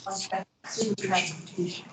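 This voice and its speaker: A woman chairing a board meeting, running formal procedure in a deliberate way with frequent hesitations. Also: medium pitch, steady tone, background noise. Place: school board meeting room. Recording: distant mic in an echoey meeting room.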